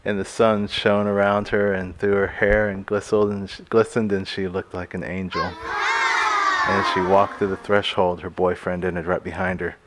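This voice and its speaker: A man with a lowish voice tells stories casually, as if being interviewed. His manner is playful, with a mock-dramatic touch. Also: little pitch movement, normal speed, over a noisy crowd, heavy background noise.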